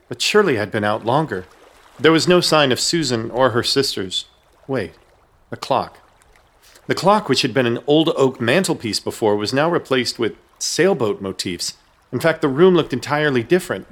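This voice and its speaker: A man's voice: mid-range voice, a bit bright. A man narrating a horror comedy radio play in the first person, wry and storytelling.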